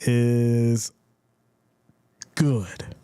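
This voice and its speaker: A man's voice: mid-range voice, extremely slow, smooth, no echo. A man with a congested voice doing casual play-by-play commentary on a live stream, calling plays and reading out viewer comments. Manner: builds excitement calling plays.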